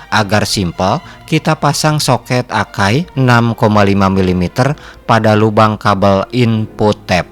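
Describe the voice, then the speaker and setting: Male narrator for a technical how-to: deep pitch, methodical and formal, scripted read over soft music. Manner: instructional, measured, formal step-by-step phrasing. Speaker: host of an electronics repair channel. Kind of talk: scripted narration of a repair tutorial.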